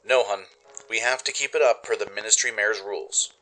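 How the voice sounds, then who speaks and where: A man acts out a loving pony stallion father, a mechanic in a radio play. The voice is deep and gentle, reassuring and affectionate, with music underneath. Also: fairly quick, low-quality recording. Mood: concerned.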